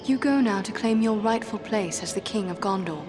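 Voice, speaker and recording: A woman's voice: mid-range voice, normal speed, close to an everyday voice. Elf woman adventurer, female actor for fantasy game: earnest, poised, mid-range voice, formal phrasing over faint music. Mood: curious. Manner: formal, composed, inquiring. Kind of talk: fantasy game dialogue acting.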